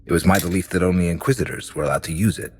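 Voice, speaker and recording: A man playing a grim, pious and cynical inquisitor in a video game, talking in a measured, formal, stern way. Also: low voice, darkish tone, in a large hall, slight background noise, natural voice. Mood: formal, restrained. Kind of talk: video game character acting.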